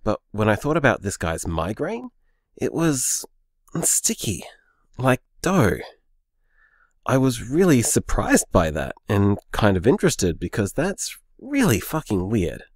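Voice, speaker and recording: A man's voice: on the low side, huge pitch swings, a little slow, dry recording, clean studio sound, heavily acted. A man playing an easygoing, sceptical young boyfriend in a naturalistic audio drama, conversational and hesitant. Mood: intrigued. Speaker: podcast drama actor.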